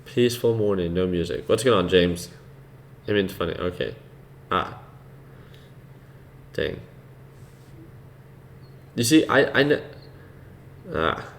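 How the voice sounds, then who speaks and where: A man hosting a live trading stream, chatting casually with viewers in a relaxed, unhurried way, with a soothing voice and plenty of fillers. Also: low-pitched, slight background noise. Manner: relaxed and unhurried, laughs easily, many fillers. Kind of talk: casual live-stream commentary, reading chat.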